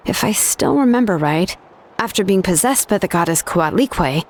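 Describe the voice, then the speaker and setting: A woman as an expressive, casual young game heroine. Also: medium pitch, moderate pace, a little hiss or hum, lightly acted. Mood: matter-of-fact, explanatory. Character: Xilonen, laid-back young woman, kindly and practical fantasy tribe member. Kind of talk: English video game voice acting.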